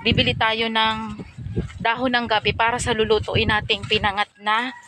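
A woman's voice: a little high, little pitch movement, moderate pace, background noise. A middle-aged woman narrating a casual walking vlog outdoors in a farm field, talking politely and chattily with frequent fillers into a phone on a selfie stick. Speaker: vlogger.

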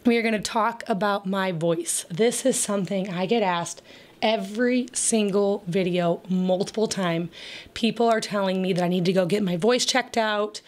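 Raspy-voiced woman chatting casually. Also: medium pitch, moderate pace. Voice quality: raspy, crackly voice. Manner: chatty, rambling, with frequent fillers and laughs. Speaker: homemaking vlogger. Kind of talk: casual voice-over chat.

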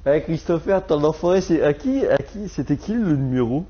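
A man's voice: on the high side, expressive, normal speed, mellow, husky. A man making casual, slangy prank-call banter on a live stream, with a cheeky manner. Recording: dull lo-fi live stream audio.

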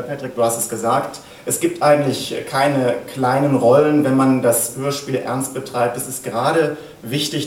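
Man giving a speech in an echoey room. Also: mid-range voice. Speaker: producer, director and author accepting an award on behalf of an actor. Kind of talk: acceptance speech. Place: small indoor event stage at a lectern. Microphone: far camera in the audience. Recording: echoey stage room, distant camera, faint hiss.

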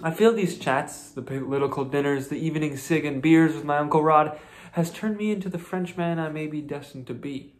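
A man in his twenties delivers an improvised spoken-word monologue, intense and searching, his fairly high voice rising into emphatic bursts. He sits close to a laptop mic in a small bedroom. An acoustic guitar strums underneath. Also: fairly quick. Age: 20s.

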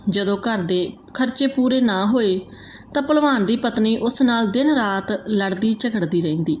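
A woman reading out a moral folk tale as a storyteller, in a steady, even manner. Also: a little high, normal speed, slight background noise.

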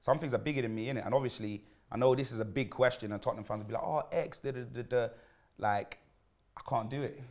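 A man's voice: mid-range voice, quick pace. A man in his thirties with a London accent, chatting casually and laughing, full of street slang and tag questions. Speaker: guest pundit. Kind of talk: casual banter. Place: football-themed studio.

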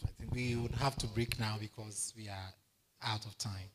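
Man speaking fast, heard from afar. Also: lowish voice, fairly even intonation. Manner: quick, hurried wrap-up. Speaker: session chair. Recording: distant mic with faint noise.

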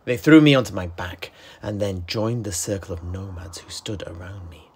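Male fantasy tavern keeper, acted by a man, deep, heavily breathy and husky, measured storytelling, rambling asides, worldly. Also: a little mellow. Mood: grave, wry. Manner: measured, dramatic pauses, conversational asides. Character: worldly, rambling tavern keeper recounting his past adventures.

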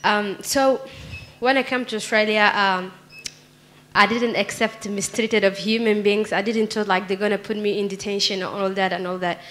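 A young woman from Somalia reads her own poetry aloud, her English shaped by her native Somali. She speaks in an earnest, measured way into a handheld microphone on a small theatre stage. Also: mid-range voice, fairly even intonation, a bit bright, a little hiss or hum. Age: young adult. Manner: earnest, measured, reading from paper. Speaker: poet. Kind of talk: spoken word poetry reading. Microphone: handheld mic.

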